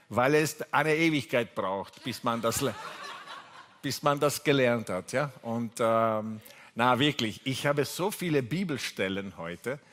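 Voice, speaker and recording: A man's voice: mid-range voice, a bit bright. A man from Italy with an Italian accent, preaching a sermon while seated, chatty and full of fillers and restarts. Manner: chatty, many fillers and restarts, jokes with the congregation. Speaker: pastor. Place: church hall. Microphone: seated preacher on stage mic.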